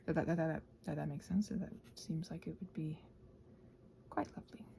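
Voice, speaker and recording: A soft-spoken woman chatting casually in an ASMR craft ramble, often slipping into whispers as she hesitantly thinks aloud. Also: low-pitched, fairly even intonation, fairly quick, creaky, a little hiss or hum. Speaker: host of a craft ramble channel. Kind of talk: casual rambling chat while painting, ASMR.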